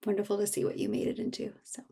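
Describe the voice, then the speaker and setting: A woman's voice: medium pitch, completely flat delivery, racing, vocal fry, warm tone, slightly rough. A woman author speaking as a guest in a casual podcast conversation.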